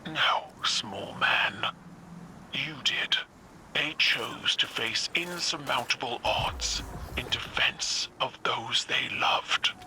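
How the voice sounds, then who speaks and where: An actor voicing a huge, proud alien emperor who speaks in a grandiose, deliberate, commanding way in a game. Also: male voice, mid-range voice, expressive, bright, forceful tone, whispered, heavily acted, poor audio. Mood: stern, rallying. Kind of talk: dramatic game voice acting.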